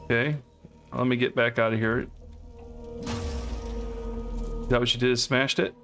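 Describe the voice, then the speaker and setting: Man, gravelly voice, casual game chat, faint music behind. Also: medium pitch, flat delivery, slow, fairly bright tone. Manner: questioning, reads game text aloud. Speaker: co-op player. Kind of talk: casual let's-play chat.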